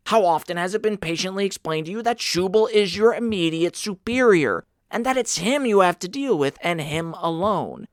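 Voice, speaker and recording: A man's voice: high-pitched, no room sound, studio quality, pitched above the performer's own voice. A man voicing a contemptuous senior ship's purser in an audiobook, haranguing with emphatic, indignant questions.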